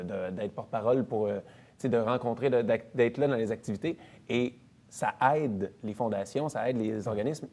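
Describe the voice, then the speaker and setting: Chatty Quebec comedian in his thirties. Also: mid-range voice. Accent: Quebec French. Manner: chatty storyteller, relaxed and self-deprecating. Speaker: comedian and actor as interview guest. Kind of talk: casual interview chat.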